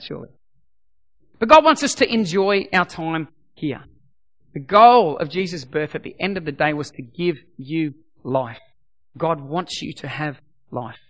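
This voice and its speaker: A middle-aged pastor with a high, bright voice that has gone a little croaky. He preaches emphatically, hammering home repeated lines and then easing into quiet prayer. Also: expressive, normal speed, pauses often. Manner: emphatic, swings from loud repeated exhortations to soft prayer. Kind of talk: sermon.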